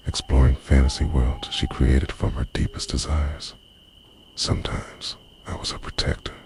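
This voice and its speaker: Very deep, whispery obsessive lover played by a man. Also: darkish tone, heavily acted. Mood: yearning, guilty. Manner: confessional, brooding, half-whispered. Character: lovestruck, obsessive young man confessing he invades dreams. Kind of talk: intimate ASMR roleplay monologue.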